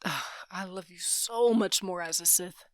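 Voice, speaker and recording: A woman's voice: fairly low pitch, unhurried, close to an everyday voice. A woman playing a roguish, affectionate smuggler with a sly, drawling manner in a sci-fi audio drama. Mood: sly, fond.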